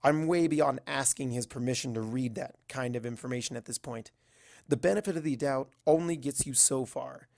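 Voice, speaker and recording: A man's voice: mid-range voice, normal speed, creaky, a little mellow. A man reading a first-person story aloud as scripted narration. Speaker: fan fiction reader.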